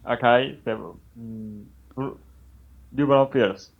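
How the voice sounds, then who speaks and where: A man's voice: medium pitch, steady tone, takes it slowly. A man answering job interview questions over a video call, hesitant, with short answers and long fillers. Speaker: job applicant. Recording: dull lo-fi video call audio.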